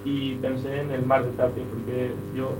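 A young man speaks softly on a panel and wanders through his thoughts. His voice stays almost perfectly level in pitch and sounds distant in a roomy space, with loud music playing behind. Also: completely flat delivery, moderate pace. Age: young adult. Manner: soft-spoken, rambling. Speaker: panel guest. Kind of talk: reflective conversation.

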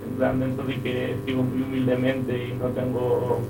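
A young man speaks softly on a panel and wanders through his thoughts. His voice stays almost perfectly level in pitch and sounds distant in a roomy space, with loud music playing behind. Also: completely flat delivery, moderate pace. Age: young adult. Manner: soft-spoken, rambling. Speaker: panel guest. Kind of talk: reflective conversation.

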